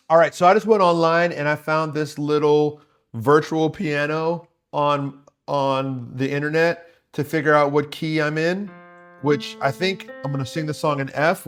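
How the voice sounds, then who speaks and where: A man speaks as the host of a songwriting lesson, in a slightly high voice. His delivery is casual and conversational, explaining and encouraging as he goes. Faint music plays behind him. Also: normal speed, fairly bright tone. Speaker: host, worship songwriting teacher. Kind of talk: casual tutorial talk to viewers.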